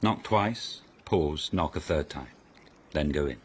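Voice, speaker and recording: An actor playing a sardonic, imperious aristocratic lord in a radio period mystery, with a pompous, commanding manner. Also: male voice, a bass voice, lively intonation, slow pace, breathy edge, full character voice. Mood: measured, conspiratorial. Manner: commanding, pompous, drawling scorn.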